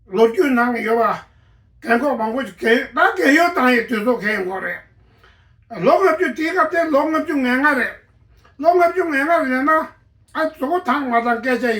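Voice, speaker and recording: A woman giving a halting spoken account, with many pauses and restarts and a voice that sometimes breaks with emotion. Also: a little high, a bit bright. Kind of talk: spontaneous personal account. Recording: echoey room, voice from a distance.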